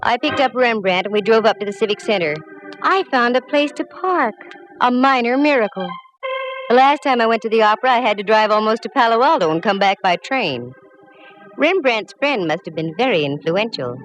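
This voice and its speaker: A woman's voice: mid-range voice, animated, fairly quick, lightly acted, low-quality recording. A woman playing a wry lady detective. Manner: wry hardboiled narration. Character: wisecracking woman private detective Candy Matson. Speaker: lead actress and narrator. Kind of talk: 1940s radio acting. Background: music.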